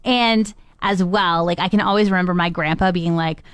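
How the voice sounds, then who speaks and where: A woman's voice: fairly low pitch, fairly even intonation, normal speed, clear, resonant tone, no echo. Chatty, giggly female radio co-host. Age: 30s. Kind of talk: casual morning radio chat.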